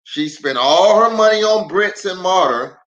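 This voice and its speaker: A man with a slightly high-set voice hosts a storytime for children. He speaks very slowly, stretching out words for emphasis. His delivery is animated and chatty, addressed straight to the viewers. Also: dull audio. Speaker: pastor hosting a children's storytime. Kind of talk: livestream greeting and read-aloud storytelling.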